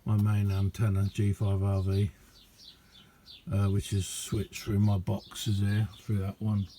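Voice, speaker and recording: A middle-aged man talks in a very mellow, fairly even voice. He rambles casually and modestly, like a hobbyist showing something on camera. There is faint noise behind him in a small indoor room. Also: lowish voice, takes it slowly. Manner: rambling, understated, self-deprecating. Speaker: amateur radio hobbyist showing his kit. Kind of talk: casual hands-on video chat.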